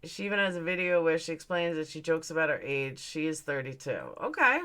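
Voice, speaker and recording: A woman in her late forties hosts a casual livestream, chatting away as she reads out viewer comments and answers them bluntly. Her voice sits a little low but sounds bright and forceful, and she swears freely. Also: expressive, fairly quick. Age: 40s. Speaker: livestream host.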